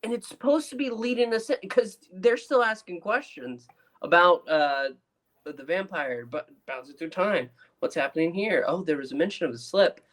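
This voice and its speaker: Higher-voiced man giving emphatic casual opinions. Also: animated, normal speed, a bit bright. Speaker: podcast co-host reviewing audio dramas. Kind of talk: casual review chat.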